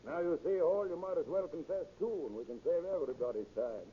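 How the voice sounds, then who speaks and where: An actor playing a firm, patronizing police interrogator in a hissy 1930s radio drama. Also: male voice, a little high, animated, lo-fi sound, lightly acted. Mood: coaxing, pressing. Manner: brisk, pressing, patronizing.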